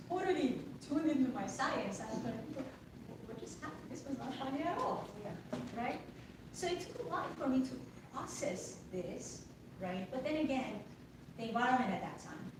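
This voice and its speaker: Middle-aged woman guest with an Iranian accent, earnest storyteller, fairly high voice, recorded in a boomy room from some distance. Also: expressive, normal speed. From Iran. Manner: earnest, repeats "right?" for agreement. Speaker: podcast guest. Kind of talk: conversational storytelling. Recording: echoey room, distant mic, noisy.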